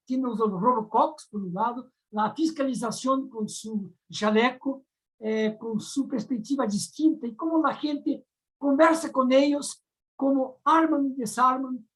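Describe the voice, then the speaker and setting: A woman from Brazil, a native Portuguese speaker with a Brazilian accent, giving thoughtful academic commentary as a discussant on a round-table panel, often pausing to search for words. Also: high-pitched, rough recording.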